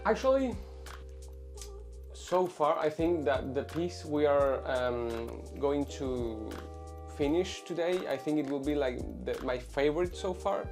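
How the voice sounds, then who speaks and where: A man's voice: a little high, animated, measured pace, darkish tone, soft background music. A man in his twenties from Venezuela, a tattoo artist answering interview questions casually, with many fillers and restarts. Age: 20s.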